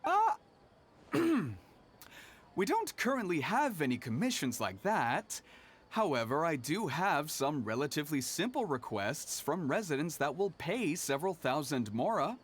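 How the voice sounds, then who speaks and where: An actor playing a courteous, businesslike guild receptionist in a video game, explaining things methodically. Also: male voice, on the high side, big pitch swings, a little slow, husky, exaggerated voice. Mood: polite, hesitant. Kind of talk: scripted game dialogue.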